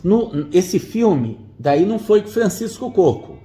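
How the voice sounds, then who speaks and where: A man's voice: sits high, sing-song, slow, dark tone. A man, interviewing casually in an effusive, coaxing manner. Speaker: interviewer, show host.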